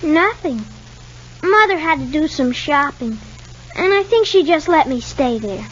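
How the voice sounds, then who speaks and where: A woman's voice: high-pitched, expressive, unhurried. A woman playing a shy, polite young boy with hesitant answers in a 1950s radio soap. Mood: guarded, innocent. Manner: reticent, short hesitant answers. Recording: dull 1950s radio recording.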